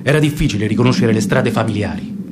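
A man with an Italian accent narrating a literary radio drama in a measured, dramatic reading that lingers on details. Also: lowish voice, very noisy. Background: guitar music.

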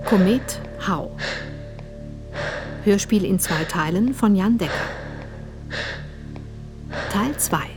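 A woman's voice: medium pitch, animated, slow, pauses often. A woman reading scripted title announcements for a radio drama. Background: music.

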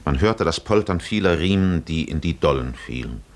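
A man as the solemn, poetic narrator of a 1950s German radio drama, measured and dramatic. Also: rumbling low, expressive. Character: solemn poetic storyteller of a sea voyage.

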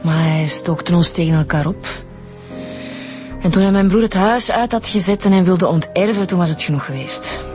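An actress with a Flemish accent playing a stubborn, guarded daughter under questioning in a radio drama. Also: female voice, fairly low pitch, moderate pace, a little mellow, soft background music, close to an everyday voice. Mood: stubborn, bitter. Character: murdered doctor's evasive, stubborn daughter.

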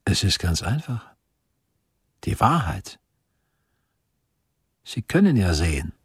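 An actor playing an earnest, self-assured eighteenth-century physician in a radio drama, speaking in a measured, formal way. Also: male voice, deep voice, big pitch swings, breathy edge, no room sound, heavily acted. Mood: insistent. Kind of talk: German radio drama acting.

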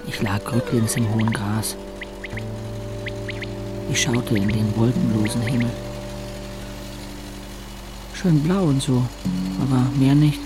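A man's voice: medium pitch, slow, pauses often, natural voice. An actor playing a bitter, brooding young man who tells of his village youth in an intense, introspective audio drama narration. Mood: brooding, reflective. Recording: music under the voice.

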